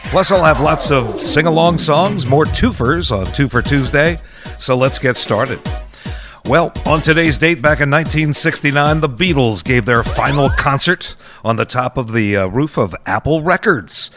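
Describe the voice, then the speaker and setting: A man's voice: medium pitch, normal speed. An American man hosting an oldies radio show with casual, upbeat, chatty patter. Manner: upbeat, chatty, chuckles between phrases. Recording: radio aircheck with music behind. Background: guitar and oldies music.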